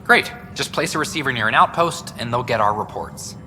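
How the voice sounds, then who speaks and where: A practical man working on a space station in a sci-fi game. His voice is bright and forceful at medium pitch, in a reverberant room with faint music. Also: lively intonation, a little fast. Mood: businesslike. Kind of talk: video game dialogue acting.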